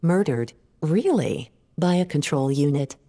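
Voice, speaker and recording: A curious, unimpressed female AI from a radio play, acted by a woman. The voice sits very low for a woman and is soft-edged and mellow. Questions come short and flat, ringing in a long reverb. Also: a little slow, exaggerated voice. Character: female artificial intelligence, curious, blunt, unimpressed by humans. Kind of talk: scripted audio drama dialogue.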